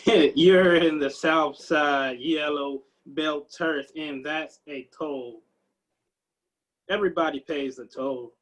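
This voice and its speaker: A menacing male street punk, acted by a man at a table read, taunting whoever he faces. He drags his words out slowly in a fairly high voice. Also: fairly even intonation, fairly bright tone, rough recording. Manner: drawling, taunting. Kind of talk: comic screenplay table read.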